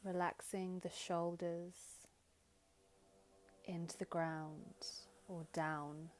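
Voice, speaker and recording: A woman leading a guided meditation in gentle, soothing, unhurried short phrases with long pauses. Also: on the low side, flat delivery. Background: background music.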